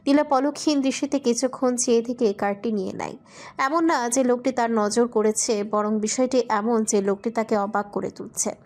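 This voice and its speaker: A woman's voice: a little high, darkish tone. A woman reading scripted romantic fiction as a narrator.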